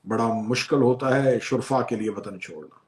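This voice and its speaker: Man preaching with impassioned, rhythmic storytelling. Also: mid-range voice. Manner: dramatic, rhythmic delivery with repeated phrases and sudden loud swells. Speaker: preacher narrating a religious elegy.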